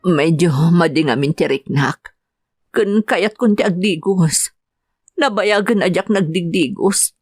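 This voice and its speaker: A woman's voice: fairly low pitch, unhurried, a little mellow, husky, dry recording, clean studio sound, soft background music. An actress playing a distressed, pleading young woman held captive in an Ilocano radio drama. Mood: weary, pleading.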